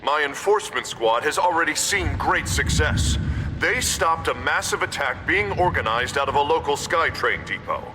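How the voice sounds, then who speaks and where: An actor playing a stern, power-hungry mayor giving a self-righteous televised speech in a video game. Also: male voice, fairly high pitch, expressive, normal speed, over music, natural voice, rough recording. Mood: righteous, commanding.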